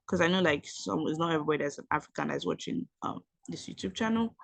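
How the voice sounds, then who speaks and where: A woman's voice: deep voice, fast talker, creaky, dry recording. A Nigerian woman who speaks Yoruba at home, giving a chatty screen-share tutorial with many fillers and self-corrections. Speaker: education YouTuber.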